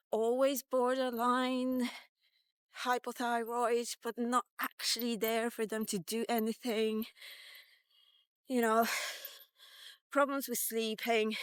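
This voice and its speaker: A woman's voice: on the high side, clean tone, dry recording. A woman in her fifties talking informally and candidly in a rambling, casual vlog. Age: 50s. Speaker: vlogger. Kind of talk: casual walk-and-talk vlog.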